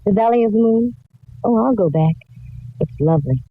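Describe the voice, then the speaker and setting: A woman's voice: medium pitch, expressive, brisk, slightly rough. A woman playing a shrewd, wry, self-assured private detective in a 1940s radio drama, laying things out with wisecracks. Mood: wry, reflective. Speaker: lead actress and narrator. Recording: dull vintage radio recording.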